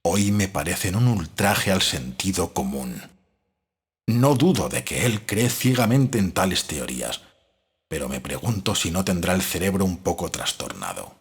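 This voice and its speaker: A deep, very rough-edged doctor voiced by a man narrates his diary in an audio drama. His delivery swings expressively in pitch while staying formal, brooding and analytical. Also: sing-song, measured pace, heavily acted. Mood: doubting, brooding. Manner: formal, reflective.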